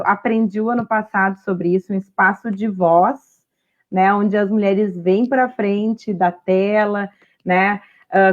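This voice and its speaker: Woman, Brazilian accent, medium pitch, casual online host, chatty tag questions, muffled lo-fi recording. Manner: conversational, frequent tag questions. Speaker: host of an online women's talk series. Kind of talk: casual livestream interview talk.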